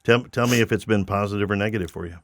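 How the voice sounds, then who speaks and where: A man's voice: fairly low pitch, racing, no echo. A man hosting a podcast, joking and teasing his guest with playful asides. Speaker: podcast host. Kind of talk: casual interview chat.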